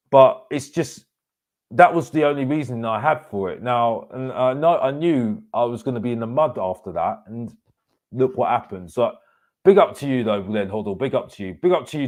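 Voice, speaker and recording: A man hosting a live football fan reaction show, giving casual opinions in an emphatic way, repeating phrases for effect and often breaking sentences up haltingly. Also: medium pitch, expressive, darkish tone.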